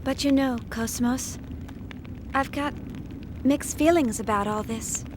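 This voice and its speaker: High-voiced, caring young female engineer. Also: slow pace, background noise. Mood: wistful, tender. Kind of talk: video game voice acting.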